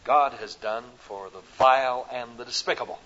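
A man from the American Midwest with a Midwestern accent, preaching a sermon at a conference, rising to loud emphatic peaks and dropping to soft, slow phrases. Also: medium pitch. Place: conference hall. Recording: dull lo-fi recording.

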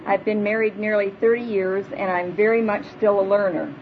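A woman's voice: medium pitch, fairly even intonation, moderate pace. Earnest woman preaching with a big voice. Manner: earnest, prayerful, plainspoken. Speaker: speaker at a women's church retreat. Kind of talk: sermon. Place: hall before a live audience. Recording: dull lo-fi live recording with faint noise.

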